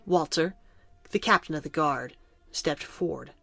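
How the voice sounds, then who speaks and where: A woman's voice: low voice, a little slow, gravelly, a bit bright, dry recording, faint music behind. A woman narrator reading scripted narration for a fantasy audio drama.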